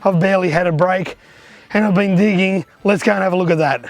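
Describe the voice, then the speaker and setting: A hoarse man who has lost his voice, giving a chatty, upbeat vlog tour of his arcade collection. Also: sits high, a little fast. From Australia. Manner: chatty, upbeat, pointing things out.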